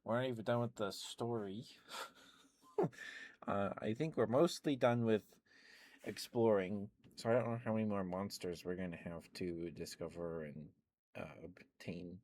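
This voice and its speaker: A young man, an ASMR streamer, rambling softly in casual chat with frequent chuckles. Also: on the low side, fairly even intonation, unhurried, a little hiss or hum. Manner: soft-spoken, rambling, chuckles often.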